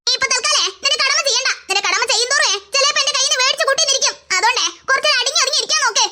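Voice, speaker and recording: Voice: extremely high pitch, very fast. A performer doing a bossy big sister. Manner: teasing, bossy. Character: bossy teasing older sister. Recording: loud background noise.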